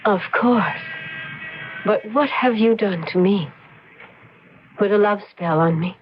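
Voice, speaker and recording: A woman's voice: mid-range voice, takes it slowly, faint music behind, close to an everyday voice. Actress as sly, seductive mystery woman, muffled radio. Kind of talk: old-time radio drama acting. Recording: dull, noisy old radio recording.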